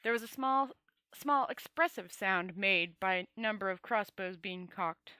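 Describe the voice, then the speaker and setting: A woman's voice: mid-range voice, measured pace, pushed and bright, clean tone, no echo. A woman storyteller reading a book aloud in an informal amateur style, often stumbling over words and correcting herself. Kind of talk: amateur read-aloud audiobook narration.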